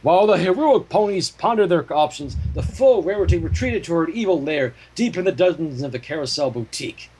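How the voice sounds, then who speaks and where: A man narrating a story aloud in a slightly husky voice, with casual asides. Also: on the high side, brisk, few pauses, slight background noise, poor audio. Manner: animated, profane asides. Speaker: fan fiction reader and narrator.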